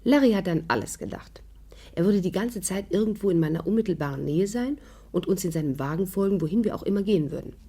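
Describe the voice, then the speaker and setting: A woman's voice: fairly low pitch, expressive, fast, mellow, husky. An actress playing a shrewd young woman who narrates her adventure in a composed, wry way in a 1950s radio drama. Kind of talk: scripted narration, 1950s German radio play.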